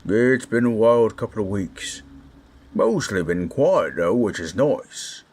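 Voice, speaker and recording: A low-voiced, husky orc played by a man speaks at an unhurried pace. He is gruff but kindly, a little awkward and shy, with halting, folksy phrasing suited to an intimate fantasy audio drama. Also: expressive, a little mellow, heavily acted. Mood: soft, reassuring. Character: gruff, kindly, bashful male orc companion.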